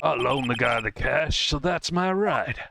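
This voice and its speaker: Man doing a gravelly New mobster loan shark. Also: medium pitch, animated, measured pace, noisy background. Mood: defensive. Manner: slangy tough-guy talk. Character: thuggish male mobster loan shark, brash and crude. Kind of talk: fan dub of a video game. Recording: music playing behind the voice.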